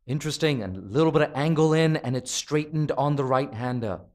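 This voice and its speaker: A man's voice: mid-range voice, expressive, brisk, a little mellow. A man commentating live on cricket from a commentary box, chatty and self-deprecating, his voice starting to give out. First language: not Nepalese. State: voice tiring, losing his voice.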